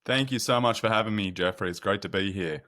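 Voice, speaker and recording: A man's voice: lowish voice, a little fast, a bit bright. A man in his thirties with an Australian accent, chatting as a guest on a podcast, friendly and often repeating words as he starts a thought. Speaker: guest, product marketing manager. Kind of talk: casual podcast interview.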